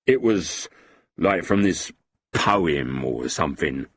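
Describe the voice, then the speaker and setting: A man voicing a boozy, sentimental lowlife with a husky voice and a blustering, self-pitying way of talking. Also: very animated, takes it slowly. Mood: evasive.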